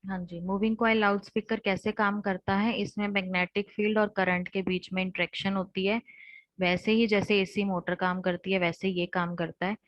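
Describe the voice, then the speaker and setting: An Indian woman gives a technical lecture in a slightly low, monotone voice. She explains in steps, rephrasing as she goes. The sound is dry and close but dull and lo-fi, like online class audio. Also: normal speed, no echo. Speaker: teacher.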